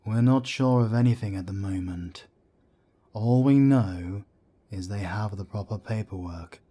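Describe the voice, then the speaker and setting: A man plays a grave werewolf elder on the council, his voice very low and dark. He talks slowly and solemnly, with a husky edge to every line. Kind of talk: amateur audio drama acting.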